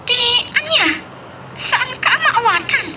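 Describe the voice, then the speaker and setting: A woman's voice: very high-pitched, lively intonation, slow pace, heavy background noise, full character voice. A woman playing a curious young school friend in an Ilocano radio drama, with a Filipino Ilocano accent. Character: Iris, young female schoolmate friend on a phone call.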